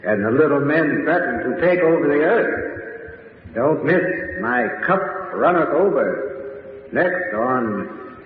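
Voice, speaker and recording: Ominous horror host, cavernous echo. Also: male voice, a little high, very animated, a little hiss or hum, full character voice. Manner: deliberate, ominous, theatrical. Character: ghoulish male horror host, ominous and theatrical. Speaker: host and announcer. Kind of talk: old-time radio horror announcing. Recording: tinny, very echoey hall, faint music.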